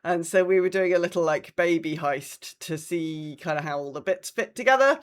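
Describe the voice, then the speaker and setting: A woman's voice: fairly low pitch, moderate pace. Woman podcast host chatting casually. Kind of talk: casual chat recapping a gaming week.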